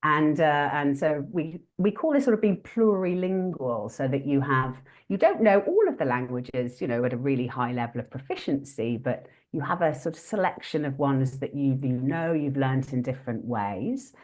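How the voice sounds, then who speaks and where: A woman hosting an interactive online teaching workshop, talking in a friendly, lively way with many fillers and restarts. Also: extremely low pitch, normal speed, hardly any pauses. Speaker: workshop host.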